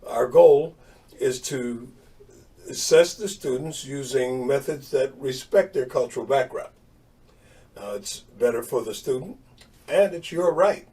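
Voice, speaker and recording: An actor playing a school principal in a training video, formal and reassuring, recorded from a distance. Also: male voice, mid-range voice, animated, a little slow, warm tone, close to an everyday voice. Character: helpful, agreeable male school principal. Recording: distant mic.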